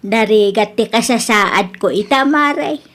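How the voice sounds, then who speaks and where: A woman's voice: fairly high pitch, a little slow, close to an everyday voice. A woman playing a long-suffering aging mother in a radio drama, plaintive and complaining, with an Ilocano accent. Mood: aggrieved.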